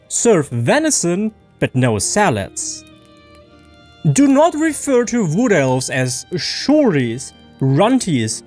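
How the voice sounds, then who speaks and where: High, pompous elven propagandist acted by a narrator. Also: a little mellow, heavily acted. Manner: pompous, declamatory, officious. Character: haughty elven propagandist, prim, zealous official.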